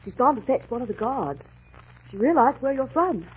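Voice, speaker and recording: Lively, kind young dancer. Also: female voice, high-pitched, slightly rough, exaggerated voice. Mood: urgent, friendly. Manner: brisk, coaxing, reassuring. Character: kind, brisk young woman dancer befriending a lost soldier. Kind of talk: 1940s radio drama acting. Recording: muffled, noisy 1940s radio recording.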